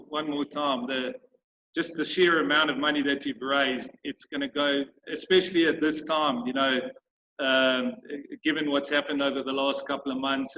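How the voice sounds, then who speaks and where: A man's voice: on the high side, bright, forceful tone, a little hiss or hum, poor audio. A man speaking on a video call, full of restarts and repeated words as he thanks supporters and talks about his sports program. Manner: halting, many restarts. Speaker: school sports program organizer. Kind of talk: grateful casual video call chat.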